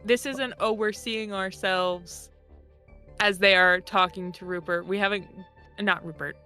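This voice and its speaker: Girl player in a tabletop rpg, really bright clear voice, casual, music low behind. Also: fairly even intonation, measured pace. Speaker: player and performer in tabletop games. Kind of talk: casual game talk and introductions.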